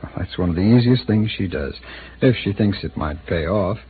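A man's voice: low voice, expressive, moderate pace, husky, heavily acted. An actor playing a guarded, evasive male city attorney who hesitates and hints, in a 1950s radio drama. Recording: tinny lo-fi old radio broadcast with hiss.

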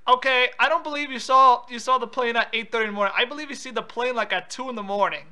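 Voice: medium pitch, fast, sharp tone. A prank caller on a phone call, confrontational and insistent with questions.

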